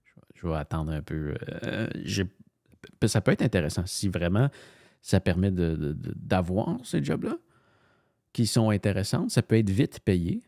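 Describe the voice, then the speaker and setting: A man with a very low, gravelly voice and a Canadian French accent talks casually, thinking aloud with frequent fillers and repeated starts. The sound is dry and close, with no room around it. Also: sing-song, measured pace, mellow, no echo. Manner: rambling, many fillers and restarts, thinking aloud. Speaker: voice-over narrator. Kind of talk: casual screen-share commentary.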